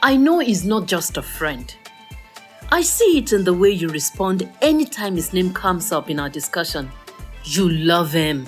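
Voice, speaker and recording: An actress playing an insistent, knowing confidante in naturalistic audio drama dialogue. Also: female voice, on the low side, lively intonation, unhurried, soft background music. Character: probing, persuasive female confidante.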